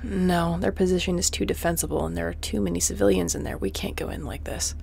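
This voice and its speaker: A woman playing a tough, wry, protective space mercenary captain in a sci-fi audio roleplay, terse and commanding, often hushed. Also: lowish voice, steady tone, quick pace, gravelly, slight background noise. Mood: hushed, tactical.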